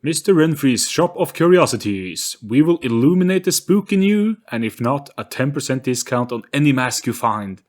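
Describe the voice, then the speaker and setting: A man's voice: sing-song, normal speed, few pauses, a little mellow, lightly acted. A man voicing a quirky, wildly enthusiastic male shopkeeper in an audio story, exclaiming like a showman. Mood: excited, exclaiming. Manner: booming, exclaiming showman delivery.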